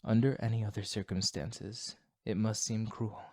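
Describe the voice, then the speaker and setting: A man voicing a remorseful male vampire fighting his bloodlust, in a solemn, hushed, formal ASMR roleplay monologue. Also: fairly low pitch, level tone, normal speed, no echo, studio quality. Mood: earnest, regretful.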